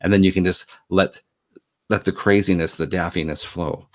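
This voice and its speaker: A man from Canada with a low voice talks casually and at length. He is effusive and rambling, often doubling back on his words. The sound is dry, with very little room. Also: steady tone, moderate pace, dry recording. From Canada, grew up in Montreal. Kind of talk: casual conversational chat.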